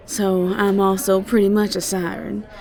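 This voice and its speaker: A woman's voice: medium pitch, flat delivery, moderate pace, darkish tone, lightly acted, poor audio. A woman playing a sweet, flustered, ditzy young siren student with a Southern American accent and a hesitant, stammering way of chatting in an audio roleplay. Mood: sweet, friendly. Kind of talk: roleplay audio drama acting.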